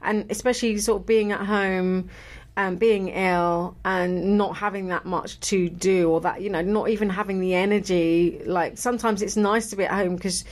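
A woman co-hosting a radio podcast in relaxed, reflective chat, her voice still a little croaky after illness. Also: medium pitch, normal speed. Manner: chatty, reflective, laughs easily. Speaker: podcast co-host.

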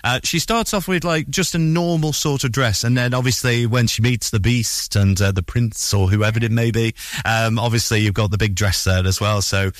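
A man's voice: mid-range voice, moderate pace, no room sound, studio quality. A man hosting a breakfast radio show, interviewing a guest backstage at a theatre in an upbeat, eager way with plenty of fillers. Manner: upbeat, enthusiastic, many fillers. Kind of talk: casual interview chat.

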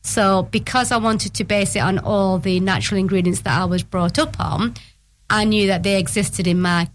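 A woman's voice: medium pitch, steady tone, normal speed, a little hiss or hum. Eager middle-aged woman radio guest. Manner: warm, eager explainer, some restarts. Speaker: guest, small soap business owner. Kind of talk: casual radio interview.

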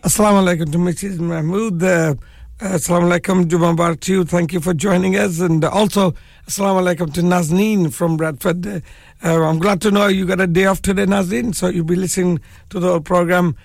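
A man hosting a live community radio show, hoarse with a cold and croaky, chatting in a relaxed way with many fillers. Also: high voice, quick pace, no echo, clean studio sound. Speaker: radio presenter. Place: radio studio.

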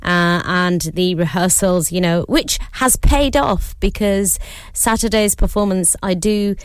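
Middle-aged female radio host, slightly hoarse but smooth tone, affirming, dry studio sound. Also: mid-range voice, a little slow, dry recording. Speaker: radio presenter. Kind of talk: casual radio interview.

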